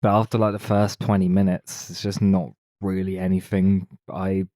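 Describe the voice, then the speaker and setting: A man's voice: low voice, little pitch movement, normal speed, dark tone, no echo, studio quality. A man co-hosting a podcast, giving his views in a casual film discussion. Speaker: podcast co-host.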